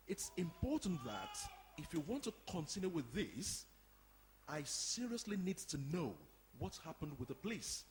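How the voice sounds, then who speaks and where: An actor plays an officious, indignant man at a drama's committee table. His voice sits high and swings widely in pitch, with formal, emphatic wording. It rings out in a reverberant hall. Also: big pitch swings, normal speed, slight background noise. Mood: insistent. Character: indignant, officious man at a youth centre meeting. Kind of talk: audio drama performed before a live audience. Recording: echoey hall.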